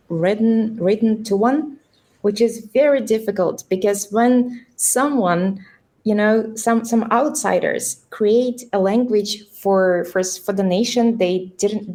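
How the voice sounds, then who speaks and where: A woman's voice: on the low side, a little mellow. A young woman, a podcast guest answering at length in a casual, thoughtful way with many fillers and restarts. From Tuva, Russia. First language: Russian.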